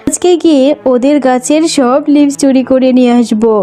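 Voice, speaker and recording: A high, mellow voice of a greedy cartoon vixen played by a woman. She is a brusque, scheming neighbour who talks slyly over soft background music. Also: steady tone, moderate pace, natural voice. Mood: gloating, scheming. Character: sly, greedy adult female fox, a thieving neighbour. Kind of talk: children's cartoon voice acting.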